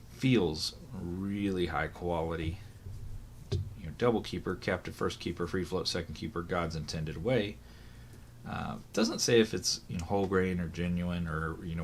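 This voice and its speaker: A hoarse man, voice still recovering from illness, chatting casually through a product unboxing, thinking aloud with fillers and corrections. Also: deep voice, a little hiss or hum. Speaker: watch reviewer.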